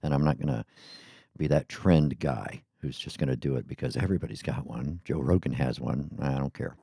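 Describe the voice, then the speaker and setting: A man's voice: rumbling low, brisk, creaky, dark tone, breathy edge, no echo. A middle-aged man hosting his podcast, chatting casually and drifting off onto tangents in a small home studio. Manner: rambling, chatty, goes off on tangents. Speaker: podcast host. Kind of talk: casual rambling monologue. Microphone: studio microphone.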